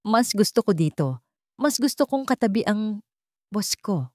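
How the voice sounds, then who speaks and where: Woman acting devoted male sweetheart, earnest, tender, teasing, mellow tone, animated pitch, romance audio drama. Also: a little slow, no echo, clean studio sound. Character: devoted, gently teasing man courting a cafe owner.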